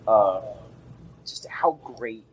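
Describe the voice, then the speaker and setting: Husky young man rambling on a podcast. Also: fairly low pitch, expressive, drawn-out words. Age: young adult. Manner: rambling, restarts sentences often. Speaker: podcast co-host. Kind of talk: casual podcast chat.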